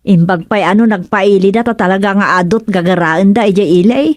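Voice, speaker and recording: A worried village mother, played by an actress, in a radio drama. She talks conversationally at a medium pitch, in a dry recording. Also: a little fast, darkish tone, no echo, natural voice. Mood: concerned.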